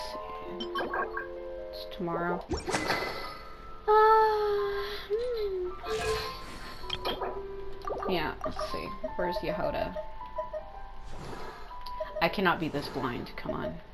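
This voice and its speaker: A woman with a congested, mucusy throat doing casual, sweary game commentary, thinking out loud. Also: medium pitch, huge pitch swings, very slow, long pauses. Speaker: gaming video host. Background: music.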